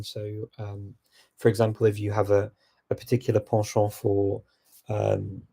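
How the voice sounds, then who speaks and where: A man hosting a casual live Q&A, answering viewer questions with relaxed, reflective advice. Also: on the low side, creaky, breathy edge.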